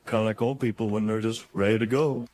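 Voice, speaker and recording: A man's voice: fairly low pitch, fairly even intonation, quick pace, darkish tone. A man reading his own motivational audiobook chapter in an informal, folksy and emphatic conversational way.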